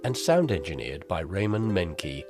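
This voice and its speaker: Low, animated male storyteller over music. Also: a little slow. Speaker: narrator. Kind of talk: dramatic scripted storytelling narration.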